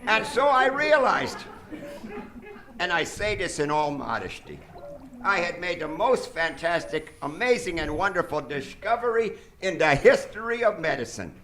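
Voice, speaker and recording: A vain, grandiose mad-scientist surgeon, played by a man, with a high, bright, forceful voice. He boasts theatrically in radio comedy style, recorded live on a theater stage with clear background noise. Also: sing-song, moderate pace, full character voice. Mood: boastful, grandiose. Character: vain, megalomaniac mad brain surgeon, absurdly boastful villain. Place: theater stage before an audience.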